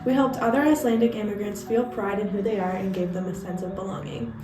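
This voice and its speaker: A woman's voice: medium pitch, fairly even intonation, fast, lightly acted. A young woman playing a proud male hockey team captain in a scripted mock interview, earnestly reading prepared answers. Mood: earnest pride. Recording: roomy echo, far mic, music behind.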